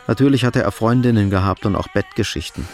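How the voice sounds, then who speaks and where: A man giving sober, measured narration for a scripted radio drama. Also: lowish voice, a little mellow, soft background music.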